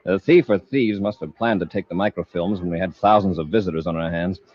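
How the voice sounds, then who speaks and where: Fast-talking, deep space commander. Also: male voice, a little mellow. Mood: serious, probing. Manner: clipped, commanding. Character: brisk, authoritative male space patrol commander. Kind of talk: old-time radio sci-fi acting. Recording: dull lo-fi old radio recording.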